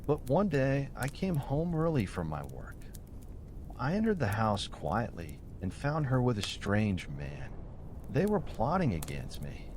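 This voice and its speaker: An earnest old man , acted by a male narrator recounts his wrongs in formal storybook fashion. The pitch rises and falls freely, with faint rumbling noise underneath. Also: wildly swooping pitch, measured pace, darkish tone. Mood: earnest, wounded.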